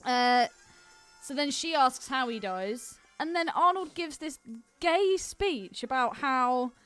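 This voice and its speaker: A woman's voice: sits high, animated, takes it slowly, fairly bright tone, smooth. A woman co-hosting a podcast in casual, joking film chat, irreverent and teasing, happy to swear, with soundtrack music behind her. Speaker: podcast co-host. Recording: music playing behind the talk.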